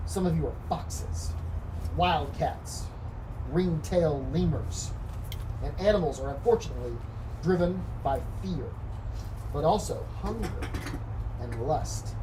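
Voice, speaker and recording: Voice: mid-range voice, lightly acted. Someone acting a pompous, commanding aerobics guru, distant mic. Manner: preachy, commanding, dramatic pauses. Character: pompous male aerobics guru, intense, cultish and predatory. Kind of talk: screenplay table read. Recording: distant mic, noisy room.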